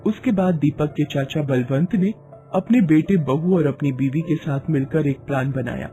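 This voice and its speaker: A man's voice: a little high, moderate pace, warm tone, dull audio, faint music behind. A man narrating a scripted moral story in a dramatic storytelling manner.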